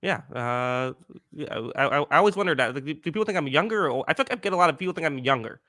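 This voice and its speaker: A man in his twenties hosting a podcast in casual chat, rambling with many fillers and restarts, with a higher-pitched voice. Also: expressive, quick pace, sharp tone, no room sound, clean studio sound. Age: 20s. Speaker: podcast host.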